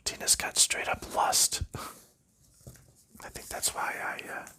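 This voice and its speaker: Bright whispering man, unhurried ASMR chat. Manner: rambling, unhurried whispered chat with soft laughs. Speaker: ASMR creator. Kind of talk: ASMR whispering while sorting board game pieces.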